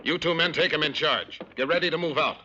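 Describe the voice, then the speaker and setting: An actor playing a firm, law-abiding army colonel in a western film, speaking formally and with authority. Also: male voice, fairly high pitch, brisk, natural voice. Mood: stern. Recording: dull old film soundtrack, faint noise.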